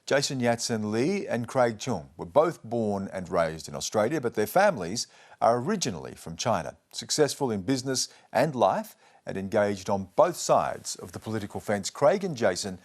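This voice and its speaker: A man's voice: on the low side, big pitch swings, normal speed. A man hosting a news programme, interviewing a guest and presenting with probing questions.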